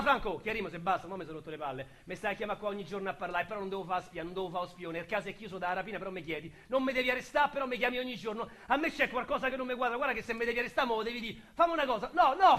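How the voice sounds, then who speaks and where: A brash, crude Roman ex-con. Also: male voice, very high voice, rapid-fire, few pauses, bright, forceful tone. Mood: irritated. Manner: rough street slang, blunt and mocking. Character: brash small-time Roman ex-convict man, crude and boastful. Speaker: lead actor. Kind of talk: radio drama acting.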